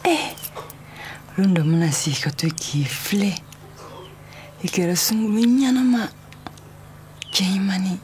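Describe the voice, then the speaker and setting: A woman's voice: mid-range voice, slow pace, pauses often, a little mellow, a little hiss or hum, close to an everyday voice. A woman playing a character who chats with a girl in conversational film dialogue. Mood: animated.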